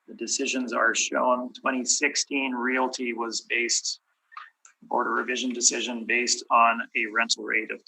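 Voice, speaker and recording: A man with a hoarse, fading voice walks through figures at a municipal hearing. His tone is very bright and cutting, and he clears his throat often. Behind him is a faint hiss. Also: medium pitch, poor audio. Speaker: appellant's representative at a property tax hearing. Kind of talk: formal presentation with many fillers. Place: municipal committee room.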